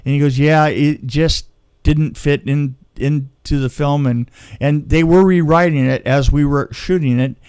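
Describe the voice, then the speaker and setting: A man's voice: fairly high pitch, fairly even intonation, measured pace, hardly any pauses, a little mellow, clean tone, no echo. A man telling behind-the-scenes film stories in a casual, conversational way, acting out the exchanges he quotes. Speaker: film crew veteran, podcast storyteller. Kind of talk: casual anecdotal storytelling.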